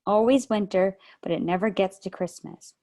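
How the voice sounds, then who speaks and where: A woman's voice: mid-range voice, normal speed, warm tone, natural voice. A woman reading aloud over a video call, voicing an eager young girl who gushes breathlessly. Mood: excited. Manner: breathless, gushing.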